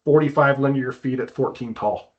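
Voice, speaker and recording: A man speaks as an expert guest in an informal interview. He explains things conversationally, haltingly, circling back over his words. The audio is somewhat dull and lo-fi. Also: mid-range voice. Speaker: guest climbing wall consultant.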